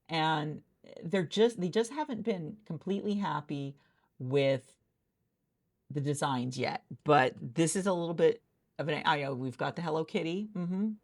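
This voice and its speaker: A woman doing a soft-spoken ASMR roleplay as a hiring manager, chatty and rambling with many restarts, recorded in a friend's office. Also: fairly low pitch, moderate pace.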